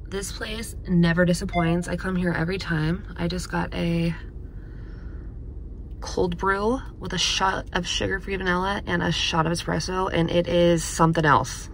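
A woman vlogging into a handheld phone with a slightly low voice. She talks casually and playfully, crude and jokey. A faint hum of background noise sits under her words. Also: steady tone, normal speed. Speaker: travel vlogger. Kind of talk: casual vlog chat to camera.

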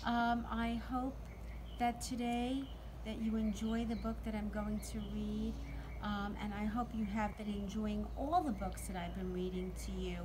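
Middle aged lady reading to little kids outside, really slow and expressive, bit of outdoor noise. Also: mid-range voice. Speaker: teacher reading to children. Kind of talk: read-aloud storytime for young children. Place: outdoors in a sunny backyard.

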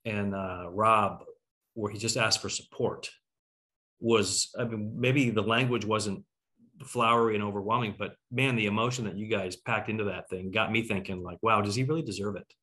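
A middle-aged man moderates a casual online talkback. His voice sits a little low. He speaks conversationally, with emphasis, thinking out loud as he goes. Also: fairly even intonation, moderate pace. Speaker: host. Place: at home on a video call.

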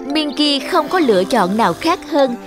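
Female narrator, scripted children's storytelling, slightly high pitch, tinkly music behind. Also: big pitch swings, normal speed. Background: jingly children's music.

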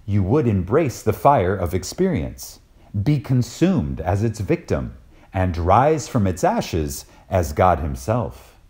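A man reading a scripted lecture dramatically and reverently, with deliberate pauses and emphasis. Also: deep voice, a little mellow. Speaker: reader of a recorded lecture.

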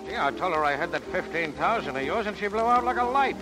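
Fretful showbiz producer, old 30s radio play, high voice, music in back. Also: male voice, expressive, fast talker, rough recording. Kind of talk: 1930s radio acting. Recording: vintage 1930s radio broadcast, music behind.

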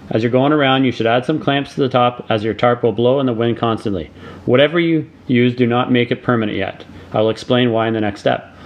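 A middle-aged man, reading a scripted voice-over tutorial in steady step-by-step instructions. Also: medium pitch, lo-fi sound. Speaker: DIY channel host.

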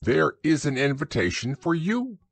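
A man's voice: fairly high pitch, very animated, normal speed, vocal fry, slightly rough, no room sound, pitched above the performer's own voice, full character voice. A man voicing a fussy, self-important male owl in a raised, prim voice.

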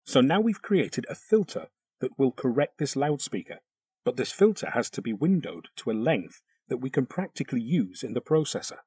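A man delivers scripted software tutorial narration with a lively, varied pitch. His voice is a little husky, and he explains each step precisely. The sound is close and very dry. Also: moderate pace, no room sound.

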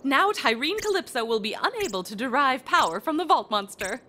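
A woman's voice: high-pitched, big pitch swings, fairly quick, sharp tone, heavily acted. An actress voicing a nervous, bookish, eccentric woman scientist in a video game, with formal, precise wording. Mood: pleased, matter-of-fact. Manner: formal, precise wording with anxious self-coaching. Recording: game effects noise behind.

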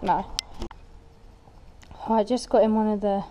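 Chatty woman exploring, mellow voice. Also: medium pitch, little pitch movement, takes it slowly, rough recording. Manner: chatty, exclaiming at finds. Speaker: urban explorer vlogger. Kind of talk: casual walk-and-talk narration. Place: outdoors and inside a derelict building.

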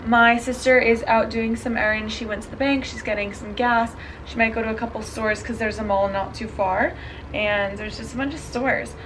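A woman's voice: on the high side, fairly even intonation, fairly bright tone, a little hiss or hum, poor audio. A young woman in her twenties chatting bubbly and casually to a handheld camera, talking through her travel plans as a vlogger. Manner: bubbly, chatty, quick asides. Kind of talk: casual vlog chat to camera. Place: car, then hotel room. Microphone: handheld camera at arm's length.

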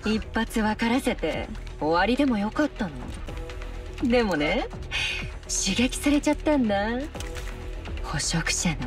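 A woman's voice: a little high, big pitch swings, a little slow. A woman playing a sly, menacing young female fighter in a Japanese game cutscene, sounding distant under music. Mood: taunting.

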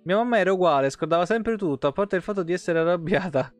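A man's voice: sits high, expressive, quick pace, no echo. A man, reading game lore aloud and breaking off for informal asides as a live streamer. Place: indoor home streaming setup. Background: soft plucked guitar game music.

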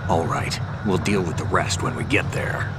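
An actor playing a gruff, cool veteran soldier in a video game, gravelly, with a terse and dry delivery. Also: male voice, deep voice, moderate pace, very noisy, close to an everyday voice. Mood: terse, calm.

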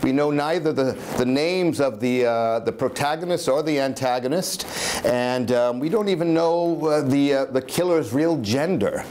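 A man, giving a halting, reflective spoken introduction to a live reading. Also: medium pitch, a little mellow. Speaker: dramatic reader. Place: small dim room in a historic house with seated audience. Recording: noisy live room recording.

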